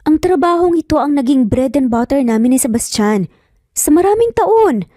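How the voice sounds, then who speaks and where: A woman's voice: sits high, animated, moderate pace, mellow, dry recording, clean studio sound, pitched above the performer's own voice. A woman voicing a proud, stubborn, defensive young working mother in an audio romance. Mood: firm, indignant.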